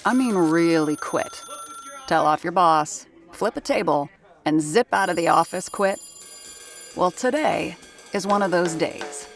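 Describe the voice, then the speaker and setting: Lady doing a dry sarcastic sound effects gal narrating, lowish voice. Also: unhurried, faint music behind. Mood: wry. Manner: dry, wisecracking. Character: wry, weary woman sound effects artist, narrator. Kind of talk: audio drama narration.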